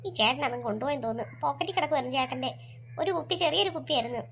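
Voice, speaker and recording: A patient mother, voiced by a woman, speaks quickly in a soft, lowered voice, reassuring the children. She sounds gentle and practical. Hiss sits under the voice. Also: animated.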